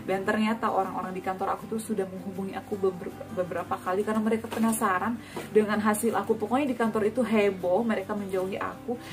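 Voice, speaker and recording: A woman's voice: mid-range voice, fairly even intonation, quick pace, far from the mic, over music. A woman in her thirties casually sharing a personal story, chatty, with frequent tag words and hesitations.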